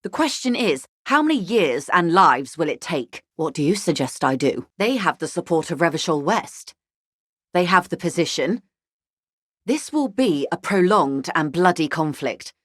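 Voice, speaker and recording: A composed corporate negotiator from a video game. Her voice sits low, recorded dry with no room around it. She speaks in measured, literate sentences, grave and weary, with a sardonic edge. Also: very animated, fairly bright tone, no echo. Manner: articulate, formal, dryly ironic, grave.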